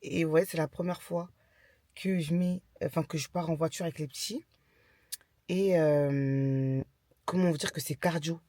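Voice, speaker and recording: A young woman chatting casually in a vlog, with a stammer that makes her repeat and restart words in a halting way. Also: deep voice, steady tone, warm tone, no echo, clean studio sound. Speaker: lifestyle vlogger, mother of young children. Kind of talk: casual chat to camera.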